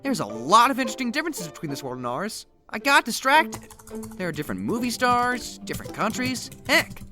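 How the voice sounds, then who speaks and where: A clever young turtle whose voice swings widely in pitch. He is wry and quick-witted, with music behind. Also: big pitch swings. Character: nerdy, smart young male ninja turtle.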